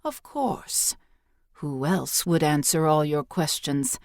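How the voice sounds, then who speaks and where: Casting: woman as elderly fantasy priestess, patient teacher, lowish voice, measured and teasing, dry recording. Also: lively intonation, no room sound, full character voice. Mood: gently teasing. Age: older. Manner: measured, instructive, gently teasing.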